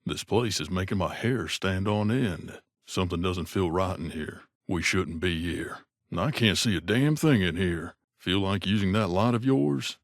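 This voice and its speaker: Low-voiced, wry mercenary. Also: male voice, animated, moderate pace, dry recording, clean studio sound. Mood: wary grumbling. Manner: wry, grumbling, conversational. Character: wry, gruff male mercenary companion in a wasteland. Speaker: video game voice actor. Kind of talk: game character voice lines.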